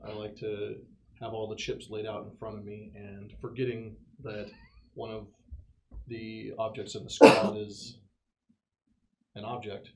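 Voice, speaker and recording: A man's voice: fairly low pitch, completely flat delivery, unhurried, pauses often, a little mellow, a little hiss or hum, poor audio. A man playing a tabletop roleplaying game, bantering casually in character.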